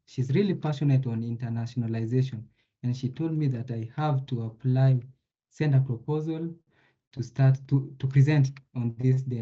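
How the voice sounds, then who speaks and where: A young man from Kenya gives a student presentation over a video call, his voice very dark and mellow. The call audio sounds dull and lo-fi, and he stumbles through a few restarts as he gets going. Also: mid-range voice. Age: young adult. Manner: hesitant opening with restarts.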